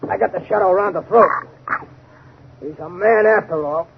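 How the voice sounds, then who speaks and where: High-pitched dim gangster henchman. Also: normal speed, vocal fry. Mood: excited, straining. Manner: slangy, uneducated, eager. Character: dim, obedient male thug henchman, eager, brutal. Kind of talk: 1930s radio mystery acting. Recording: noisy, dull 1930s radio recording.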